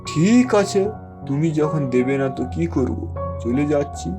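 A man acting an unhurried, neighbourly villager. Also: fairly high pitch, expressive. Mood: resigned. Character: unhurried, neighbourly village men in small talk. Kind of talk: cartoon story dubbing. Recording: loud music under the voice.

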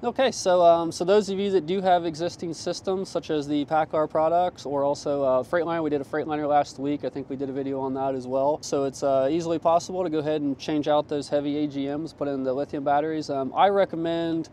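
A man's voice: fairly high pitch, fairly even intonation, quick pace, few pauses, dark tone. A man in his forties with an American accent, giving an informal, plain-spoken product demonstration to camera as an installer. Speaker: presenter and installer. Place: outdoors on a gravel truck lot. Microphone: clip-on lapel mic. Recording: outdoor ambient noise.

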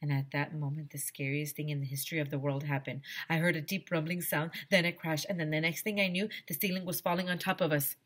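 A woman's voice: deep voice, fast talker, few pauses. A middle-aged woman reading a children's story aloud expressively, in the manner of a teacher, close on a headset microphone. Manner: animated, acts out dialogue. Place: small decorated home classroom.

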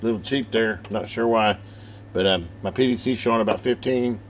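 A man's voice: fairly low pitch, normal speed, heavy background noise. A man giving a casual, rambling hands-on radio demonstration, thinking aloud in a folksy, informal way. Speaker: hobbyist presenter demonstrating a CB radio.

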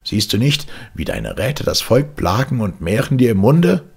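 A man voicing an angry village blacksmith who scolds his lord, in a raised, higher voice than his narration. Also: huge pitch swings, unhurried, gravelly, slightly rough, exaggerated voice. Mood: scolding.

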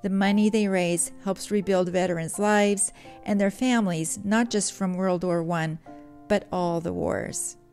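Middle-aged woman, craft tutor voice-over, measured and earnest, gravelly tone, faint music bed. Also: medium pitch, steady tone, darkish tone. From Poland. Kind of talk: read voice-over narration.

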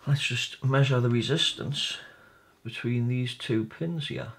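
A man's voice: mid-range voice, unhurried, gravelly, a bit bright. A man giving a casual hands-on repair walkthrough, informally explaining each step and thinking aloud. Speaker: hobbyist electronics repair presenter.

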